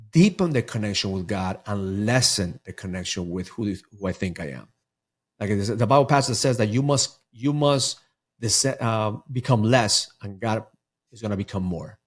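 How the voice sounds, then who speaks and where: A middle-aged man from Ecuador, a mindset coach talking earnestly as a podcast guest, often repeating words as he restarts. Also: medium pitch. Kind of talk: podcast interview.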